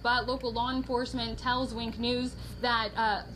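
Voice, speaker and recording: High-voiced woman reporting live over crowd noise. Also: flat delivery, moderate pace, low-quality recording. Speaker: TV field reporter. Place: live on location outside an apartment complex.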